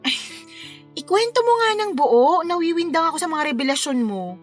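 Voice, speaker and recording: Actress as playful, frank young career woman, high voice, animated colloquial delivery, faint music underneath. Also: moderate pace. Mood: astonished, probing. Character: young female wedding planner, playful, frank, concerned friend. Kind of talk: radio drama dialogue.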